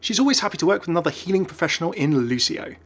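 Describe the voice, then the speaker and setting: A man's voice: a little high, very animated, rapid-fire. A man hosting a gaming video with enthusiastic scripted narration.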